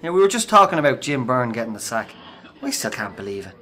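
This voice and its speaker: A fair-minded workmate in a radio play. He has an average-pitched voice and a casual, plain-spoken way of chatting. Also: big pitch swings, fast talker, pushed and bright. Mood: puzzled. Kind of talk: radio drama acting.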